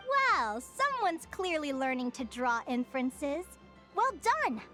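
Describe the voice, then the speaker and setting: A woman's voice: high voice, big pitch swings, slow, pushed and bright, faint music behind, full character voice. A woman playing an encouraging, playful young teacher in a video game, with a sweet, composed manner.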